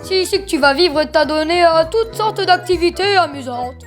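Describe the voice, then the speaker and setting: A woman's voice: very high-pitched, normal speed, soft background music. A woman voicing a flamboyant, bossy circus ringmaster in a cartoon fan dub, announcing everything grandly and theatrically. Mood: showy.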